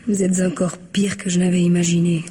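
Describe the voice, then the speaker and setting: An actress playing a dignified, distrustful widow with formal, clipped replies in a French radio mystery. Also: female voice, fairly low pitch, little pitch movement, fairly quick, warm tone, natural voice. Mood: indignant. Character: proud, guarded widow, distrustful and indignant. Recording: faint background noise.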